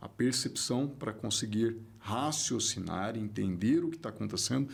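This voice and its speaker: A Brazilian man from the São Paulo state, around his forties, talking as a podcast guest in an animated, emphatic way and repeating his points for stress. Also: on the low side, normal speed, vocal fry. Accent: São Paulo state Portuguese. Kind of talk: casual interview talk.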